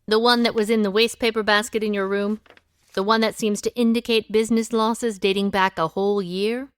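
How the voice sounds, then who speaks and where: A woman's voice: mid-range voice, flat delivery, a little fast, clear, resonant tone, no room sound, clean studio sound, lightly acted. An actress playing a shrewd, world-weary 1920s woman sleuth in an audio drama, knowing and matter-of-fact. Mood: shrewd, accusing. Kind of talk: audio drama dialogue and first-person narration.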